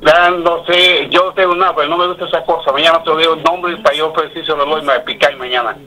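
A Panamanian man on a phone call, aggrieved and halting, repeating his complaint. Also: a little high, fairly even intonation, pushed and bright. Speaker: radio listener being pranked. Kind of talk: annoyed phone conversation.

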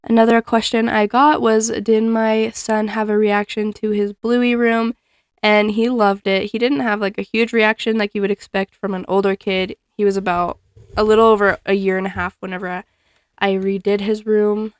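A woman's voice: mid-range voice, flat delivery, moderate pace, smooth, no room sound, clean studio sound. Chatty young woman folding laundry at home. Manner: chatty, laughs often, frequent ums. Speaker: cleaning vlogger. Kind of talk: casual chat while folding laundry. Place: living room at home, indoors. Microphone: fixed camera across the room.

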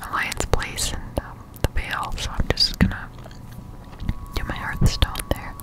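A woman's voice: a little slow, rough recording. A woman whispering casual ASMR game commentary close to the microphone while chewing gum. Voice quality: unvoiced whisper. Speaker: streamer playing a video game. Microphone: close-up desktop studio microphone.

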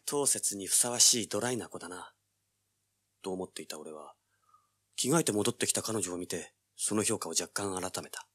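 A man's voice: low-pitched, moderate pace, fairly bright tone, husky. An actor playing a cocky, cool-headed young treasure hunter who narrates his own hard-boiled adventure in an audio drama. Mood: wry, confident. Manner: hard-boiled, self-assured.

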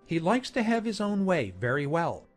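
An actor playing a courteous gentleman colonel of noble birth in a dramatized audiobook, speaking in polite, measured, formal phrases. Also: a little high, wildly swooping pitch. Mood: candid, polite. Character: courteous gentleman colonel, an earl's younger son. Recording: music behind the voice.

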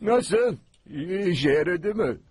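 A man's voice: high-pitched, animated, slow pace, darkish tone, slight background noise. A man voicing an earnest, tinkering stick-insect scientist in a cartoon, explaining things methodically. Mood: eager, calling out. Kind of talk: animated cartoon dub. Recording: music behind dialogue.

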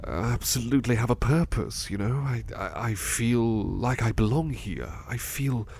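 A man playing a gentle, anxious, sleepy butler in a soft-spoken ASMR roleplay, hesitant and apologetic as he stammers. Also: medium pitch, moderate pace, vocal fry, breathy edge. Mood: content, reassuring. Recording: faint background noise.

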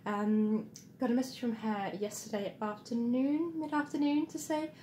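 A woman in her thirties with a croaky, just-woken voice, chatting casually into a phone at arm's length indoors. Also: fairly high pitch, steady tone, normal speed, warm tone, reverberant, slight background noise. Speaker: costume sewing vlogger. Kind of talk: casual morning vlog to camera. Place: dim room at home, indoors.

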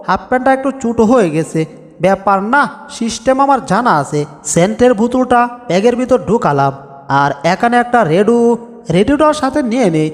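A man voicing an excitable, boastful, lovestruck cartoon cat, exclaiming theatrically to himself. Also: very high-pitched. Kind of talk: Bengali cartoon dub comedy. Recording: long reverb with music.

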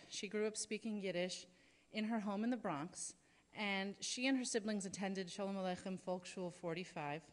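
A woman in her thirties at a podium, giving prepared introductory remarks from notes with frequent fillers. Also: medium pitch. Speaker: event speaker introducing a lecturer. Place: large lecture hall, at a podium. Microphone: podium microphone. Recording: reverberant hall.

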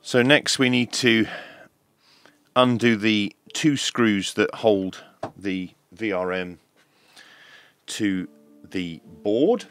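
A man presenting a hands-on electronics tutorial, explaining step by step in a measured way over background music. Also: on the low side, fairly bright tone. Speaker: host of an electronics teardown channel.

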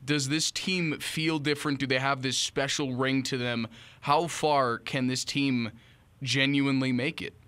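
A man's voice: medium pitch, steady tone, measured pace, a bit bright, smooth. A man in his twenties hosting a sports podcast and putting interview questions into a close studio mic. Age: 20s. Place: small office studio, indoors. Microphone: close studio mic on boom arm.

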